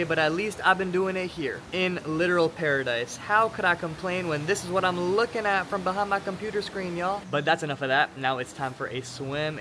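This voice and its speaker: A man's voice: fairly high pitch, background noise. An upbeat, chatty young man from New York, a vlogger and yoga teacher whose first language is Hebrew, talking casually to camera. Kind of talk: casual vlog talk to camera.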